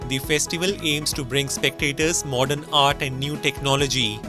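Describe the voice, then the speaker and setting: A man's voice: medium pitch, fairly even intonation, moderate pace. A man narrating world news as a scripted voice-over. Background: music.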